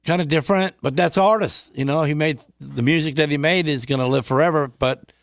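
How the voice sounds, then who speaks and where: A man's voice: on the high side, fairly quick, vocal fry, no echo, studio quality. A man chatting casually as a co-host on a talk show. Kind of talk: casual chat.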